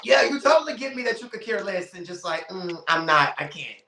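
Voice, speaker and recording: A man in his thirties hosting a casual interview podcast, teasing and playful with quick exclamations. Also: sits high, very animated, gravelly, reverberant, noisy background. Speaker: podcast host.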